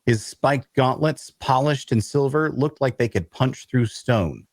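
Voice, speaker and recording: A man's voice: medium pitch, measured pace, darkish tone, dry recording, clean studio sound, natural voice. A man playing a wry, observant first-person narrator, reading descriptive, colloquial narration for a fantasy audio drama. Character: observant, wry male storyteller in a fantasy tavern.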